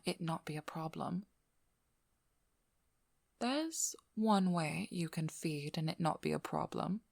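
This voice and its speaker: Woman doing a tough wry vampire hunter lady for asmr roleplay, gravelly n fried at the edges, super close and dry, slips into whispers. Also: on the low side, no echo, full character voice. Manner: measured, firm, breathy, often dropping to a whisper. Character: stern, wry, protective female vampire hunter. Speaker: ASMR role-play performer. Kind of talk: intimate ASMR role-play acting. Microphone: close microphone.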